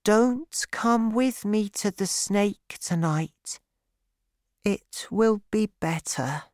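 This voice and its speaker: A man voicing a gentle, sad little boy prince, speaking softly and earnestly. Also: sits high, expressive, very slow, no echo, studio quality, voice pushed up, full character voice. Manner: soft, earnest, wistful.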